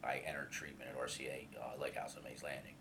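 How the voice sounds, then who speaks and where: Very deep-voiced man in his fifties. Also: level tone, fast talker, creaky, noisy background. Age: 50s. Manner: open, earnest, hesitant with many restarts and fillers; loud voice. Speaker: alumni coordinator, guest. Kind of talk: candid interview about his recovery.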